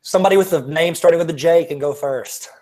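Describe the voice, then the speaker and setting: A man hosting a comedy podcast game, bantering casually in a playful, somewhat halting way. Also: a little high, dark tone.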